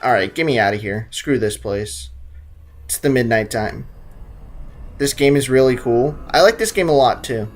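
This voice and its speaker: A man's voice: mid-range voice, moderate pace. A young man casually joking and rambling through a video game playthrough. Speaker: gaming YouTuber. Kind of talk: casual let's-play commentary. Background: game music.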